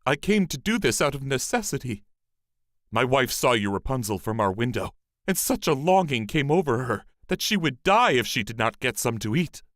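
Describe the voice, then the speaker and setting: A man reads as a devoted, anxious storybook husband. He speaks earnestly and pleadingly, with an animated, swinging pitch in a dry, close recording. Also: normal speed, dry recording. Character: frightened, pleading, devoted husband caught stealing. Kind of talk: fairy tale storybook character reading.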